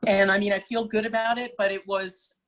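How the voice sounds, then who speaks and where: A woman's voice: medium pitch, little pitch movement, a little fast, fairly bright tone. Middle-aged woman talking about singing roles, lots of ums and restarts, easygoing. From Malaysia. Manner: thoughtful, many restarts and fillers, laughs easily. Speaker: guest opera singer. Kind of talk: casual interview chat.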